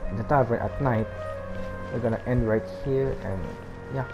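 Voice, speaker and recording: A man's voice: mid-range voice, moderate pace, over music. A man from the Philippines, casually commenting on a game while he plays, rambling and murmuring. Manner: rambling, restarts, murmured reactions. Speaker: gameplay commentator. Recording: dull lo-fi mic over game audio.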